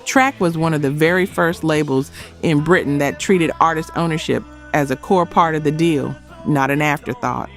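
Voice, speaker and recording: A man with a slightly high voice narrates a music documentary from a script. He lands short phrases with dramatic emphasis, and music plays faintly behind him. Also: moderate pace. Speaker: narrator of a music history video.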